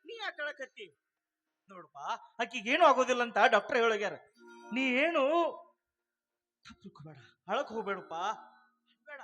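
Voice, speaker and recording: A man playing a fussy, bossy village father. His voice sits very high for a man, and he scolds and insists, with faint music behind. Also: very animated, fairly quick, long pauses, pushed and bright, exaggerated voice. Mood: consoling.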